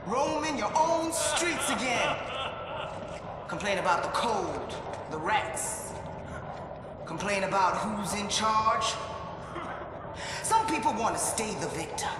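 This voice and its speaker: An actor playing a menacing male gang boss who delivers a rhythmic, taunting speech and breaks into shouts. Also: high-pitched, lively intonation, slow, pauses often, heavy background noise. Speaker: gang leader giving a speech. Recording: echoey hall with loud music.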